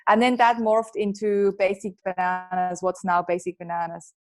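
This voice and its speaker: A woman in her thirties with a strong Swiss accent, a guest telling her story openly in a podcast interview, quick bursts with restarts. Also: mid-range voice, flat delivery. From Switzerland. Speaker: guest, entrepreneur and author.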